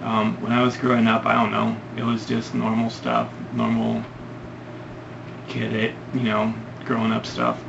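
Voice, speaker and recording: Young guy from Ohio doing a casual Q&A, rambling with ums; gravelly voice on a muffled, hissy recording. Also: mid-range voice, completely flat delivery, unhurried. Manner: hesitant, rambling with many ums. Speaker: vlogger answering questions. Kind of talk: casual Q&A vlog. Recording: lo-fi, noisy home recording.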